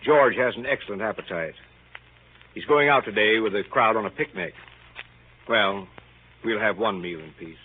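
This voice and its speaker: An actor playing a dry, droll narrator of household goings-on in a 1930s radio comedy drama. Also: male voice, lowish voice, moderate pace, long pauses, phone-quality sound, natural voice. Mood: dry, wry. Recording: thin, faintly noisy 1930s radio recording.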